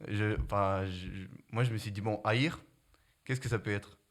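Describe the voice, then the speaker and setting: A young man from Guatemala with a light Spanish accent, answering interview questions in a halting way, often restarting and searching for words. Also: lowish voice, steady tone. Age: young adult. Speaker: prep-school student.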